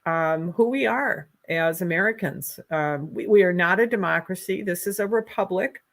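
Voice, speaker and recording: Minnesota-accented woman, lowish voice. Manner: earnest, halting with many ums and restarts. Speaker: candidate for Secretary of State. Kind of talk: political interview, conversational.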